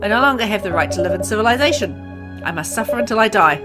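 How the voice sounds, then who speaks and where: A woman's voice: mid-range voice, expressive, natural voice. A woman voicing a grieving, vengeful father haunted by guilt, reading a visual novel aloud in a dramatic, brooding manner. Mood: remorseful. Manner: dramatic, brooding, deliberate phrasing. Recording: music under the voice.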